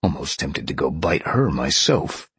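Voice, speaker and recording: A man voicing a gruff, impatient lion shapeshifter in an audiobook, growling and bluntly sardonic. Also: very deep voice, very animated, measured pace, a bit bright, dry recording, heavily acted. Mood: grumbling. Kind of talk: audiobook character acting.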